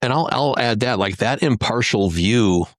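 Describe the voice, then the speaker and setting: A man's voice: medium pitch, animated, moderate pace, dry recording, studio quality. A man talking casually as a panelist on a podcast. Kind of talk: casual discussion.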